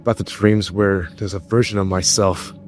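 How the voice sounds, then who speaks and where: A man's voice: low-pitched, fairly even intonation, moderate pace, over loud music, lightly acted. A young man voicing a sarcastic, blunt and guarded character in a webtoon fan dub. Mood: blunt, probing.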